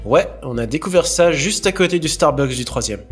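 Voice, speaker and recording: A man plays a friendly former colleague, casual and chummy. His voice sits a little high. Faint music plays underneath. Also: expressive, a little fast, close to an everyday voice.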